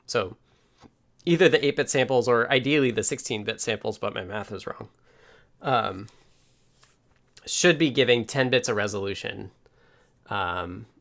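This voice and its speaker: A man casually talking through live coding and electronics as a stream host, halting as he thinks aloud. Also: lowish voice, a bit bright. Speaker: livestream host and software developer.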